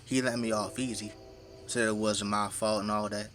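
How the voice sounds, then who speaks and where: A man's voice: fairly low pitch, little pitch movement, moderate pace, a bit bright, faint music behind, close to an everyday voice. An actor playing a folksy, teasing middle-aged Midwestern family man who works as a zombie-fighting janitor in an audio drama. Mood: relaxed, candid.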